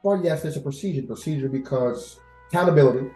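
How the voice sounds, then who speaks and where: Expressive man in his thirties, roomy echo. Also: medium pitch, fast. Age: 30s. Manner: animated, expressive, repeats phrases for emphasis. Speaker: podcast guest, air traffic controller. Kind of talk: casual interview conversation. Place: indoors at host's house. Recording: roomy echo, faint music behind.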